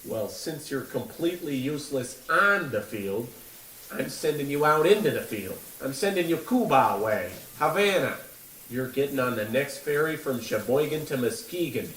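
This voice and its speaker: Bullying ball coach, male actor: scolding, mid-pitched, comedy radio play, off-mic in an echoey room. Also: animated, moderate pace, a bit bright, close to an everyday voice. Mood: stern, scolding. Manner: stern, scolding. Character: gruff, bullying small-town baseball coach. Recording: distant, echoey room with faint noise.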